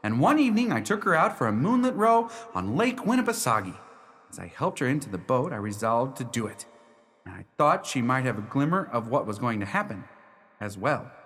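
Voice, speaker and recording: An actor playing an earnest, lovestruck young gentleman, telling his story formally in a radio drama. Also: mid-range voice, very animated, brisk. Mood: fond, earnest. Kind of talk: radio drama narration, first person. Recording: long hall reverb.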